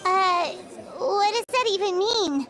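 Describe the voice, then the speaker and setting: Give me a squeaky, excitable little girl sidekick with a very high voice. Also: exaggerated voice. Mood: eager. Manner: exclaiming, quick to defend friends. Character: tiny excitable girl companion, blunt and loyal. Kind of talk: game voice acting.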